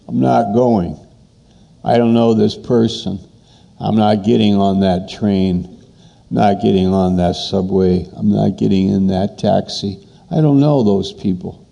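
Pastor guy preaching slow, low gravelly voice, hammers points over and over. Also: dark tone. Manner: repetitive, emphatic preaching with pauses. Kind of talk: sermon.

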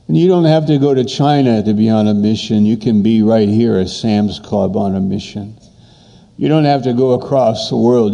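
A man preaching a sermon as a pastor, emphatic and repetitive, with pauses between phrases. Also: fairly low pitch, creaky, warm tone.

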